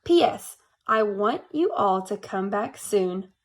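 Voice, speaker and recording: A woman's voice: medium pitch, lively intonation, slow pace, pitched below the performer's own voice. A woman voicing an eager, dramatic little storybook girl with an exclaiming, emphatic delivery. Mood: grateful, sweet.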